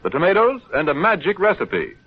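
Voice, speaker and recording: A man announcing in polished, scripted 1930s radio sales style with measured delivery. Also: on the high side, wildly swooping pitch, slightly rough. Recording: thin, narrow-band 1930s radio broadcast.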